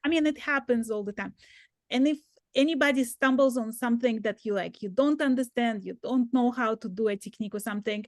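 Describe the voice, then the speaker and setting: A woman's voice: fairly high pitch, fairly quick, no echo, studio quality. A woman hosting a knitting video call, chatting casually and peppering her talk with fillers. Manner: friendly, fillers like "like". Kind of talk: casual chat.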